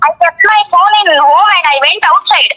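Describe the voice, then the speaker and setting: A woman scolds the caller through a thin, tinny phone line in a high, bright voice. She talks in a slow, drawn-out way and sounds indignant and exasperated. Her tone stays sharp and pointed the whole time. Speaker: phone call recipient. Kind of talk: heated phone argument.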